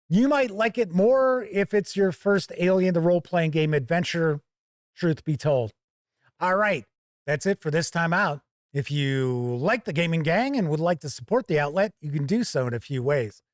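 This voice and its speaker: A man with a slightly high voice presents a product review in a conversational way. He is emphatic, leaning on key phrases for stress. The recording is close and dry, with little room sound. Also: no room sound. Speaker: host of a tabletop game review channel.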